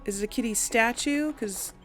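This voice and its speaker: A woman's voice: a little high, normal speed, soft background music. A woman hosting a casual game playthrough, chatting informally to her audience and thinking aloud. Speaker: host of a game playthrough series. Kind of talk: casual chatty let's play commentary.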